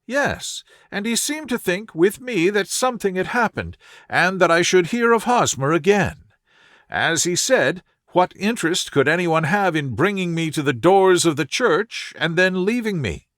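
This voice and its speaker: A man's voice: sits high, lively intonation, a little slow, a bit bright, no echo, clean studio sound, voice pushed up. A man voicing a distressed, loyal young woman with an earnest, plaintive manner in an audiobook story. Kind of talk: audiobook character dialogue.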